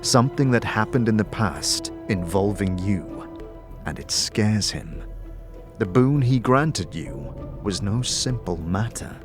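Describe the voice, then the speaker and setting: A man narrating a dark fantasy audio drama in a solemn, measured, dramatic way. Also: on the low side, animated. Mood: ominous. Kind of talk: scripted second-person audio drama narration. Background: music.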